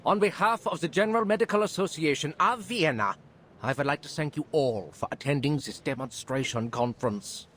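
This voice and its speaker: A man's voice: on the high side, fairly quick, a bit bright, lightly acted. A man playing a showy physician in a video game, addressing an audience in a formal, grandiloquent, theatrical manner. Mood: grand, showy. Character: showy male physician presenting a demonstration to an audience. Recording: clear background ambience noise.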